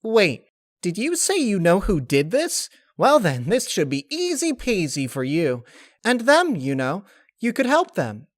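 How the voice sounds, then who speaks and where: Guy doing a super bubbly girly pony spirit for a story, high voice, carefree n gushy. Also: huge pitch swings, measured pace, dry recording, clean studio sound, exaggerated voice. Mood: excited, cheery. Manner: perky, gushing, childlike phrasing. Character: bubbly, hyperactive young female pony element spirit, carefree.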